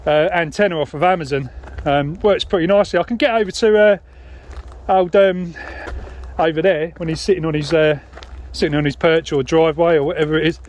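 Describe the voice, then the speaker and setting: A British man in casual, informal radio chat while walking outdoors, hesitating and trailing off now and then. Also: on the high side. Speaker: radio hobbyist and vlogger. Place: outdoors on a dog walk.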